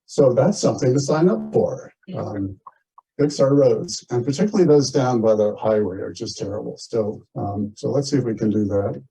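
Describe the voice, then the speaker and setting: A man's voice: mid-range voice, normal speed, creaky, dark tone, husky. An American man chairing a community meeting, explaining things plainly and informally, heard in muffled audio. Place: community meeting, shared over video call.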